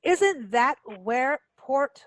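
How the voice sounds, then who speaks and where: A woman hosting a radio interview, eager and halting, with lots of fillers and repeated words. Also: high voice, big pitch swings, dry recording. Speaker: radio show host. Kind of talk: casual interview chat.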